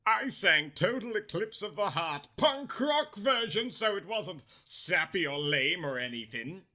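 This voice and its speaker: A man's voice: high voice, sing-song, a little slow, bright, forceful tone. A man narrating parody fan fiction in a theatrical, comic style with melodramatic, exaggerated emphasis.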